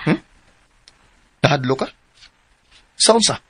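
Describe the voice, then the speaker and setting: An actor playing a smooth-talking, offhand young lover in a radio drama, with a Cebuano accent. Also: male voice, on the high side, big pitch swings, slow, exaggerated voice. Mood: curious.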